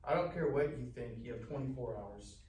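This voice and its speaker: A man acts out a stern, fed-up mayor of a small town. He is firm and admonishing, with a medium-pitched voice. The live script read is captured from off in a roomy space with faint noise. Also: expressive, fairly quick, a little mellow, natural voice. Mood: stern, exasperated. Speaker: actor at a live table read. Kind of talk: live table read screenplay acting. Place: live festival table read in a room. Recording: echoey, distant room mic with faint noise.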